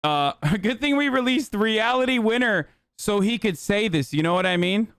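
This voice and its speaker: A man talks political commentary on his stream, bluntly and with plenty of profanity and filler words. His voice sits somewhat high and sounds smooth and resonant. The sound is dry and close, with no room around it. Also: expressive, moderate pace, fairly bright tone, no room sound. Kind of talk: livestream commentary reacting to clips.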